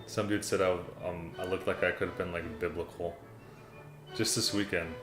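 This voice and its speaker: A young American man with a low voice chats loosely on a livestream, reading viewers' messages and calling everyone "dude" and "man." The room sounds echoey, and classical music plays clearly behind him. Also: fairly even intonation, moderate pace. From United States. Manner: laid-back, rambling, says "man" and "dude" often. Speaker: livestream host reading chat. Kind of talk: casual livestream chat. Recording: roomy echo, classical music playing behind.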